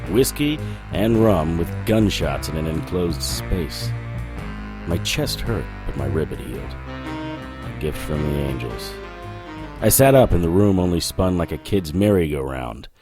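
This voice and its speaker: A man's voice: deep voice, darkish tone. A man playing a battered, cocky supernatural investigator, narrating and talking with dry sardonic wit in a noir audio drama. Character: wisecracking hard-living male supernatural investigator, battered and cocky. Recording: music under the voice.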